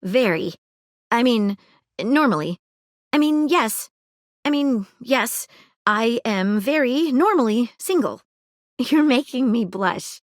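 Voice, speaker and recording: An eager, nosy young detective. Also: female voice, a little high, animated, takes it slowly, long pauses, no room sound, studio quality. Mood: chatty, curious. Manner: earnest, inquisitive, fact-loving. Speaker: voice actor. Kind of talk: video game voice lines. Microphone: studio mic, dry booth.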